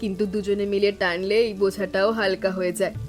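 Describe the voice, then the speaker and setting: A woman playing a caring elder sister-in-law in an animated family drama, speaking in a reassuring, gently instructing manner. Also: mid-range voice, fast talker, natural voice. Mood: affectionate. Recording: music bed with rain sounds.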